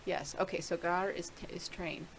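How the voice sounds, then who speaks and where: A woman's voice: lowish voice, steady tone, normal speed, slight background noise. A middle-aged woman casually talking through a screen-share video blog, rambling and thinking aloud with many restarts. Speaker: video blogger.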